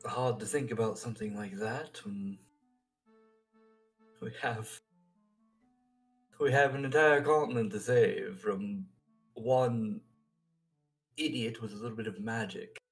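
A man's voice: lowish voice, takes it slowly, pauses often, faint music behind. A man playing a troubled, guarded, solemn adventurer in an improvised fantasy audio drama, speaking hesitantly and formally. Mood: brooding.

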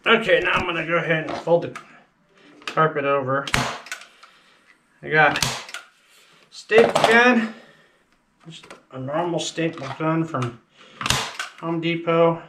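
A man's voice: a little high, slow pace, pauses often, very noisy. A man hosting a video, casually explaining each step of a build while he works with a body-worn camera. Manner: plain step-by-step explaining while working with tools. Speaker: channel host. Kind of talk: casual how-to narration. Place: driveway outdoors, then house kitchen floor. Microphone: body-worn action camera.

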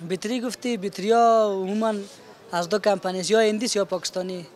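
A man's voice: high-pitched, fairly even intonation, a little mellow. A young man with an Afghan Dari accent, answering informally as an interviewed shopkeeper into a reporter's handheld microphone. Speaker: electronics shopkeeper being interviewed. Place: open-fronted electronics shop on a market street. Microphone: reporter's handheld microphone held toward him.

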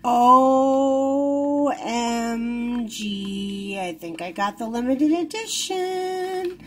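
Slow-talking woman unboxing toys. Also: fairly high pitch, animated, slight background noise. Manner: chatty, easily delighted, soft giggles. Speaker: toy unboxing vlogger. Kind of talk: casual unboxing commentary. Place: indoors at a wooden table. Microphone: camera over the table, hands-only.

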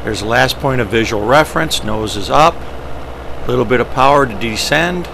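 A man's voice: mid-range voice, normal speed, creaky. A man giving matter-of-fact, step-by-step instructional narration as a pilot, often in short clipped phrases. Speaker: pilot presenting ski flying. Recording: steady engine and vehicle noise.

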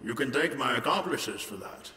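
Man doing a gloating warlord villain, grandiose, slow, lively pitch swings, roomy echo, long reverb, music behind. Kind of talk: fan audio drama acting.

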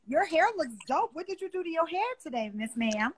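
Animated woman host, slightly high voice, casual chat over a phone stream. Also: fairly quick. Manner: animated, exclamatory, gestures a lot. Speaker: livestream host. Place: bedroom, seated on a bed. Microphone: phone on a video call.

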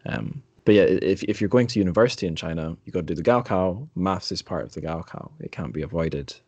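A man's voice: sits low, lively intonation, quick pace, darkish tone, breathy edge, no room sound, lo-fi sound. A man hosting a podcast, talking casually about books in an interview. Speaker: podcast host. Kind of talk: casual literary discussion.

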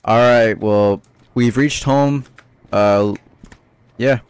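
A man's voice: medium pitch, extremely slow, smooth. A man chatting casually in slang, a little hesitantly, as he improvises a gaming skit. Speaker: host of a gaming horror skit video. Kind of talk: casual improvised skit chat in a social VR game.

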